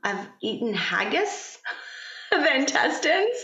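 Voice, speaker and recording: Woman, native Farsi speaker, podcast guest, gentle, thoughtful, echoey room. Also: high-pitched, sing-song, slow pace. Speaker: podcast guest, parent coach. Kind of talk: casual interview chat.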